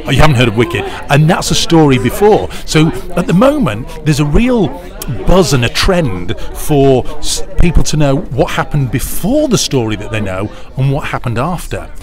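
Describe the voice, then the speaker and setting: A man's voice: on the high side, sing-song, normal speed. Middle-aged British man talking over music. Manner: chatty, enthusiastic storyteller. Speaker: pantomime producer, interviewee. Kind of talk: interview answers. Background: music clearly audible.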